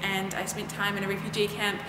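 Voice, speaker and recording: A woman's voice: medium pitch, completely flat delivery, fairly quick, music in the background. A young woman telling about her school years abroad in short, earnest snippets. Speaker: student alumna. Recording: echoey hall with faint noise.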